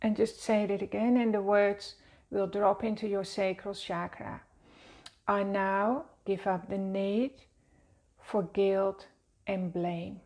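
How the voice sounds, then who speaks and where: Gentle Dutch-accented woman with gravelly fry. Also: medium pitch, fairly even intonation. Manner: slow, gentle, soothing guidance. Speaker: wellness teacher. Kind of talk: guided meditation teaching. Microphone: seated at a desk, speaking to camera.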